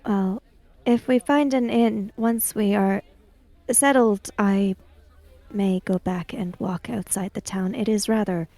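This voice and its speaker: Woman doing a shy country girl traveller, tabletop game, slow hesitant talk, dry close sound. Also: medium pitch, fairly even intonation, mellow, no echo. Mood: hesitant, reserved. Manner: hesitant, reserved.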